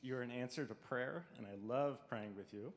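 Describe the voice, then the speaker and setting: A man's voice: mid-range voice, moderate pace, a little hiss or hum. Earnest young man in a church hall. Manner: earnest, tender. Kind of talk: heartfelt marriage proposal. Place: church sanctuary stage. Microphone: handheld microphone.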